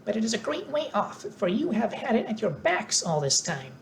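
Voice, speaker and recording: A squeaky little mouse queen. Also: sing-song, moderate pace, slight background noise. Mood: gracious. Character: tiny squeaky female mouse queen, gracious.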